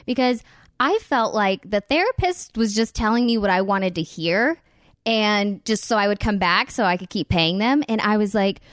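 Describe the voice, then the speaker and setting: A woman's voice: mid-range voice, animated, no room sound, studio quality. A woman hosting a podcast, talking candidly in a casual chat full of "like" fillers. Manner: candid, talks fast with many "like" fillers. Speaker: podcast host.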